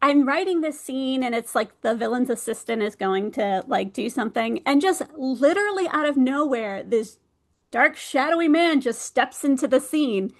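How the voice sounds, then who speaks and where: A woman with a fairly high voice talks casually as a guest in an interview. She is conversational and animated, slipping into playful little quoted asides as she tells stories. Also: moderate pace. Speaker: guest author, ghostwriter and audio drama producer. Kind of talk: casual interview chat about writing.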